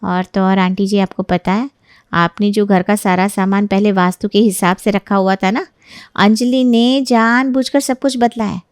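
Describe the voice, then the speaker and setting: A woman's voice: mid-range voice, fairly even intonation, moderate pace, smooth, no room sound, clean studio sound, natural voice. A woman playing a jealous young married neighbour, falsely sweet and sly, in a dramatized moral story. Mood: sly, insinuating. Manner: sly, insinuating, mock-hesitant.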